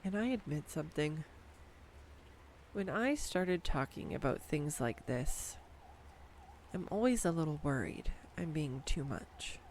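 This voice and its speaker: A woman's voice: low voice, expressive, measured pace, pauses often, darkish tone, a little hiss or hum. A woman playing a nerdy rambling girlfriend. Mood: tender. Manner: enthusiastic, rambling, tangent-prone, giggly. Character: nerdy affectionate young adult girlfriend, rambling about animals. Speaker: girlfriend in an audio roleplay. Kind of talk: intimate audio roleplay monologue. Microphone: studio USB microphone.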